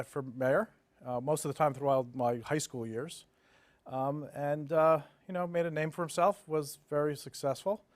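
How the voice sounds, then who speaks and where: A man's voice: on the high side, dry recording. A man in his fifties from New Jersey, telling stories casually and matter-of-factly as an interview guest. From New Jersey, United States. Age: 50s. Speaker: interview guest, development executive. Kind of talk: conversational interview storytelling.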